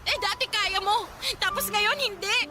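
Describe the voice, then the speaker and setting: Very high-pitched lovesick young Filipina. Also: female voice, moderate pace, heavy background noise. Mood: hurt, pleading. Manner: emotional, insistent. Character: lovesick, jealous, pleading young working woman. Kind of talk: Tagalog radio drama acting. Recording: music bed behind dialogue.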